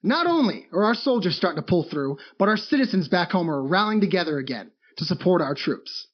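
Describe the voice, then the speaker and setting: Wartime bulletin announcer, high-pitched upbeat man, lively intonation, slightly dull sound. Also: a little fast. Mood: rallying. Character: upbeat propagandist radio news announcer. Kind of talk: wartime radio bulletin, audio drama.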